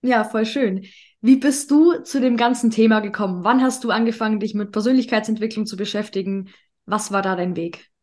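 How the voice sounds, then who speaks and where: A woman's voice: mid-range voice, a little fast, darkish tone. A young woman hosting a podcast in an upbeat, chatty way, dropping in English words. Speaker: podcast host. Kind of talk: casual podcast interview.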